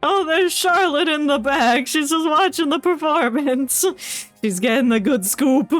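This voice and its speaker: A woman comments casually on a game in a fairly high voice. She is joking and reactive, and game music plays faintly underneath. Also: expressive, measured pace, fairly bright tone. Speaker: game streamer. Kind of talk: casual live playthrough commentary.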